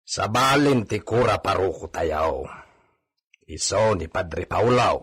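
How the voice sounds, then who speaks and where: A man with an Ilocano accent and husky voice playing a gossipy, matter-of-fact villa servant in a radio drama. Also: deep voice, animated, slow pace, a bit bright, full character voice. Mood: confiding.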